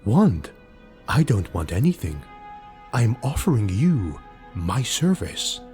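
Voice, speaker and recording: A man's voice: lowish voice, big pitch swings, slow, darkish tone, soft background music, full character voice. A man voicing a sly, mocking rogue retainer in an audiobook, deferential and insinuating.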